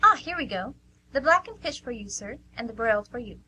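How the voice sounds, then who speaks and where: An actress playing a polite, obliging waitress with a husky voice in an amateur radio comedy. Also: female voice, on the high side, lively intonation, brisk, sharp tone, heavily acted. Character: polite, apologetic, obliging young-sounding restaurant waitress.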